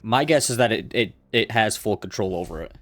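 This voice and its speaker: Casting: male game player, fairly low voice, close dry sound, casual explaining. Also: animated, fairly quick, a bit bright, no room sound. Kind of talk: casual discussion.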